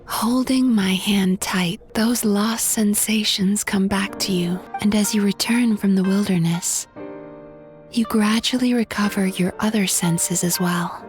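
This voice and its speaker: A woman voicing a gentle young dream-eater therapist in an anime game, speaking calmly and softly. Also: mid-range voice, a little slow, soft background music, natural voice. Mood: hypnotic, soothing. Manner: calm, soft, attentive.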